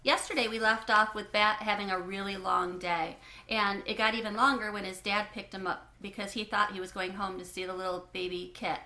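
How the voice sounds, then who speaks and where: A woman narrating a children's book in an expressive, animated way, with clear phrasing. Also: mid-range voice, brisk, hardly any pauses, reverberant. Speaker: read-aloud host.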